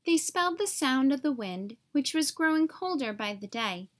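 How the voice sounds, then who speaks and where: A woman reading a children's story aloud in an expressive, carefully phrased way for young listeners. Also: high voice, normal speed.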